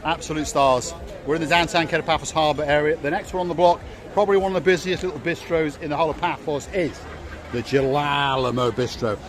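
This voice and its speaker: A man's voice: a little high, steady tone, fast talker. A man from North Wales with a Welsh accent, hosting a walking vlog and joking with people he meets. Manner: jokey, upbeat, chatty with strangers. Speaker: vlog host. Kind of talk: walking vlog chat, guiding a camera. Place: busy harbour front outdoors at evening. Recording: outdoor crowd chatter behind.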